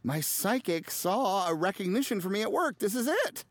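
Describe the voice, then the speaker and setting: An actor playing a nervous, smitten, hapless low-level ad man in an audio drama, rambling and scolding himself. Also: very animated, moderate pace. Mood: hopeful, indignant. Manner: flustered, rambling, self-scolding. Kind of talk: audio drama acting with confiding inner monologue.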